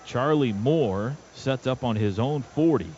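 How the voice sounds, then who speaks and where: A man's voice: mid-range voice, a little slow, darkish tone. An American man calling live football on radio as play-by-play announcer, in short clipped present-tense bursts. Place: stadium radio booth. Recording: dull, noisy stadium radio broadcast. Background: clear outdoor stadium background noise.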